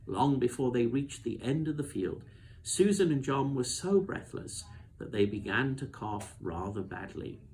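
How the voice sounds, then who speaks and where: A man reading a children's novel aloud as expressive storybook narration. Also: mid-range voice, a little slow, creaky, slight background noise, rough recording.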